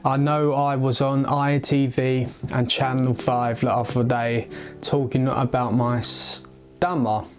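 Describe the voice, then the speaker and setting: Young man, stammer, slow halting delivery, guitar music behind. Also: mid-range voice, flat delivery. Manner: stammering, halting with many pauses and restarts. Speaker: vlogger. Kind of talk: personal story to camera.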